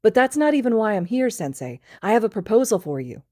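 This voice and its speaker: A woman's voice: mid-range voice, lively intonation, normal speed, dark tone, no echo, studio quality, pitched above the performer's own voice, natural voice. A woman voicing a giddy, intoxicated teenage boy student who giggles and rambles in a storybook reading. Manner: giggly, rambling, sing-song. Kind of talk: fan fiction read aloud.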